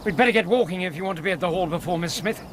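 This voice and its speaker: An actor playing a loyal Victorian doctor and companion in a radio drama, with an earnest, reassuring manner and a husky voice. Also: male voice, high voice, racing, heavy background noise, lightly acted. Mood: conversational.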